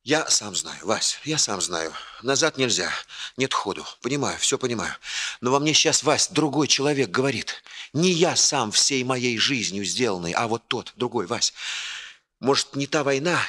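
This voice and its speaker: An actor playing an earnest, inwardly torn young bridegroom in a radio play, halting and intense. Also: male voice, medium pitch, expressive, sharp tone. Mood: anguished. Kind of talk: Soviet radio play acting.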